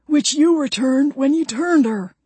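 Woman playing a brash, high-pitched redneck man. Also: unhurried, mellow, no room sound. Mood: insistent, pleading. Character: vengeful redneck man, brash, demanding to become a vampire.

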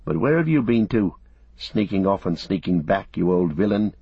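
A man's voice: low-pitched, animated, moderate pace, breathy edge, no echo, dull audio, heavily acted. A man voicing a loyal, protective halfling servant with blunt, homely rustic phrasing in a storybook reading. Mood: gruff, suspicious.

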